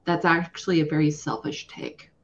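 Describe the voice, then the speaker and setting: A woman's voice: deep voice, normal speed, lo-fi sound. A woman guest speaking earnestly and emphatically in a casual political discussion, often acting out imagined quotes.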